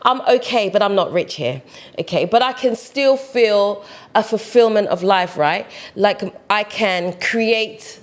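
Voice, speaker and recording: A woman's voice: mid-range voice, unhurried. A young woman from the UK, talking casually to camera as a vlogger, often tagging her sentences with "right?" and "you know". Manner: chatty, peppers talk with "right?" and "you know".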